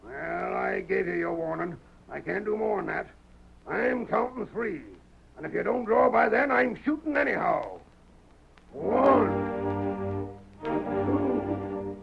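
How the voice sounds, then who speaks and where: An actor playing a hard, hot-tempered western ranch man in a radio drama, barking his words forcefully with a gravelly voice. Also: high voice, lively intonation, takes it slowly, pauses often, faint music behind, full character voice. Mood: grimly threatening. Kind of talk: old-time radio drama acting. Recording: noisy, muffled vintage radio recording.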